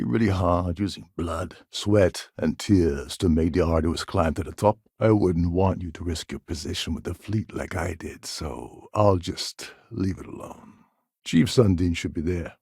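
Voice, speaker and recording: A weary older pirate captain for a sci-fi video game. His voice sits low and husky, with a dry, close studio sound. He talks in a sardonic, conspiratorial way, like a schemer who has seen it all. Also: darkish tone, no echo, heavily acted. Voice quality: gravelly, husky. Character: weary, wry older space pirate posing as ship captain. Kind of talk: video game character dialogue.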